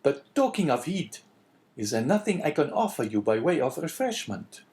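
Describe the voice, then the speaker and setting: A man's voice: a little high, very animated, moderate pace, darkish tone, voice pushed up. A man reading an audiobook, voicing a fawning, flustered middle-aged innkeeper who is eager to please. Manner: eager, bowing and apologetic. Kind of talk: audiobook character voice.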